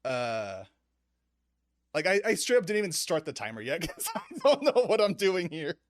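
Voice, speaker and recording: A man's voice: fairly high pitch, very animated, normal speed, no room sound, studio quality. A man hosting a casual podcast, often laughing at himself and stumbling over his words. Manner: laughing, self-deprecating, stumbling. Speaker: podcast host.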